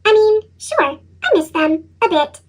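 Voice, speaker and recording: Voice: very high voice, very animated, measured pace, heavily acted. A reader with a sped-up chipmunk effect voicing an awkward, wistful, self-deprecating bean who tells a children's story expressively.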